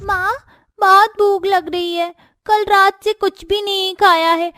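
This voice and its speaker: Woman acting as small child, high-pitched, whining, plaintive, hungry, story drama. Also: fairly even intonation, slow, a bit bright, no room sound, clean studio sound, full character voice.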